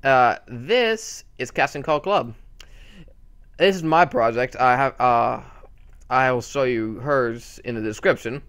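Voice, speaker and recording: A man with a bright, forceful voice talks casually through a channel update on a desktop mic. He rambles, with many fillers and restarts. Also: medium pitch, slow pace, clean tone. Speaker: channel host.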